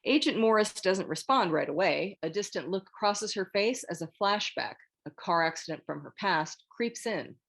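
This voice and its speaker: Woman narrating a screenplay, medium pitch. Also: expressive, normal speed, gravelly, hardly any pauses, fairly bright tone.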